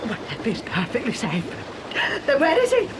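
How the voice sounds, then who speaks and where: An actress playing a frantic, indignant, overbearing mother in a children's audio drama, shrill and melodramatic. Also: huge pitch swings, moderate pace. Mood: indignant, panicked. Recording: noisy old cassette recording.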